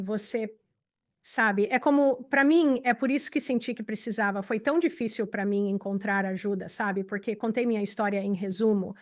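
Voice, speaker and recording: A woman speaks Portuguese with a Brazilian accent and a medium-pitched voice. She answers as an interview guest, in an earnest, reflective way, as a dubbed spiritual teacher.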